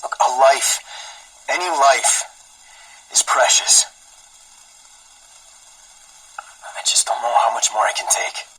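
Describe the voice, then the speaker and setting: An actor playing a guilt-ridden, haunted scientist confessing haltingly in a breathy, hoarse half-whisper for a game audio log. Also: male voice, medium pitch, bright, forceful tone, heavily acted, rough recording. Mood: guilty, grieving. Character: guilt-ridden, fearful, haunted military scientist aboard a ship.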